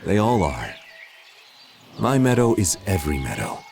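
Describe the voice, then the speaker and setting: An actor playing a gentle, formal meadow spirit in an audio drama, speaking softly and tentatively. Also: male voice, sits low, big pitch swings, moderate pace, creaky, faint music behind, exaggerated voice. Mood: tender. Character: gentle meadow spirit, male-voiced, shy.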